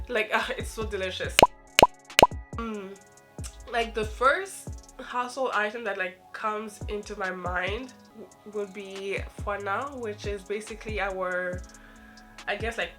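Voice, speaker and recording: A young woman from Mali vlogging casually, a little nasal from the flu, rambling with many fillers. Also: mid-range voice. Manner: rambling, filler-heavy with lots of "like" and "um". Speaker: YouTuber. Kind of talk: casual personal vlog.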